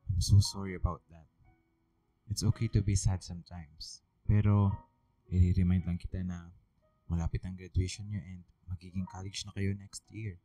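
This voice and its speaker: A man playing a concerned, composed high school teacher in a Filipino radio drama. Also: little pitch movement, normal speed, dark tone, soft background music, natural voice, low-quality recording.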